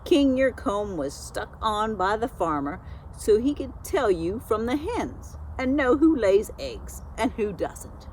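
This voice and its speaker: A woman's voice: on the high side, sing-song, a little mellow, a little hiss or hum, pitched above the performer's own voice, low-quality recording. A woman voicing a proud, silly female goose in a children's storybook, self-important and sure of herself. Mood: smug, knowing. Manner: self-important, exclaiming, deliberate. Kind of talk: children's storybook character reading.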